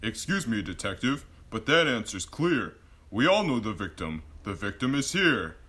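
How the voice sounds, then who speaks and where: An actor playing a know-it-all who makes pointed, explanatory corrections in a rhyming comic audio drama. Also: male voice, fairly low pitch, very animated, moderate pace, bright, forceful tone. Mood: pedantic, pointed. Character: know-it-all male onlooker politely correcting the detective.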